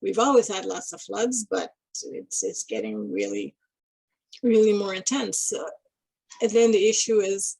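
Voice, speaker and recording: Husky, thoughtful woman from Guyana. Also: medium pitch, lively intonation, darkish tone, dry recording, poor audio. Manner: thoughtful, halting, many restarts and fillers. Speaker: interviewee. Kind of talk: casual reflective talk.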